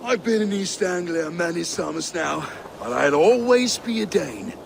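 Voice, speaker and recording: A scornful Danish warrior taunts his foes in a game cutscene. His gravelly voice lurches between high jeers and low growls. Loud ambient noise runs behind him. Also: animated, moderate pace. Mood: mocking. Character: gruff boastful Danish warrior man, mocking. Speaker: rival warrior in a rhyming insult duel.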